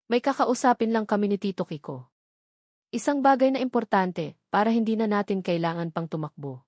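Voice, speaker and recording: A woman's voice: mid-range voice, fairly quick, darkish tone, no echo, clean studio sound, close to an everyday voice. Woman reading as an anxious, guarded young aunt. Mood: gently reassuring. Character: anxious, doubtful young aunt hiding her fear.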